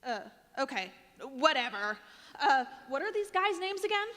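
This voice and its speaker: A woman's voice: high voice, very animated, moderate pace, pushed and bright, exaggerated voice. A woman playing an easily impressed, slightly dim young hipster with slangy speech in a comic radio drama. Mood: vague. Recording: live stage show, reverberant hall.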